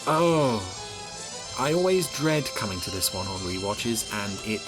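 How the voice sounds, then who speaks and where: A man's voice: on the low side, animated, measured pace. A man giving sardonic, exasperated scene-by-scene commentary on a television episode as a podcast reviewer. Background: TV episode soundtrack with music.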